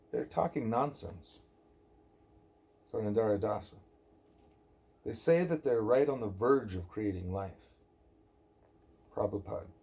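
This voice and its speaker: Man, audiobook reader, husky, mellow tone, deliberate emphatic delivery, scripted spiritual dialogue. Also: medium pitch. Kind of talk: read-aloud spiritual dialogue, scripted narration.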